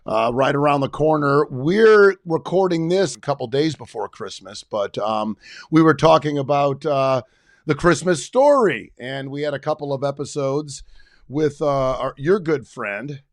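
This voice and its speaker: A man's voice: on the high side, animated, a little slow, dry recording, studio quality. A middle-aged man co-hosting a podcast, chatting casually and rambling with many restarts. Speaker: podcast co-host. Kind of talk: casual chat. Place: his home.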